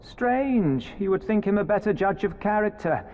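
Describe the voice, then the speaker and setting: An actor playing a sneering imperial officer in a video game, with a mocking, sly, insinuating manner. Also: male voice, high voice, animated, moderate pace, darkish tone, highs cut off. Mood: sly insinuation. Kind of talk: video game cutscene acting. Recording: background ambience and noise.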